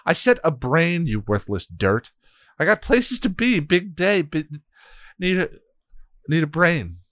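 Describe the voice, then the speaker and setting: A man's voice: low-pitched, lively intonation, moderate pace, dry recording, studio quality. A man voicing a vain, vicious female hell god who mocks and snarls at her foes. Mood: frantic. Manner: mocking, taunting, snarling.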